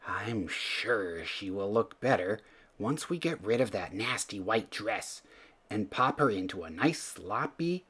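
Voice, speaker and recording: A man voicing a kindly, reassuring beast mother in a children's storybook, speaking softly. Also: fairly low pitch, animated, unhurried, husky, exaggerated voice.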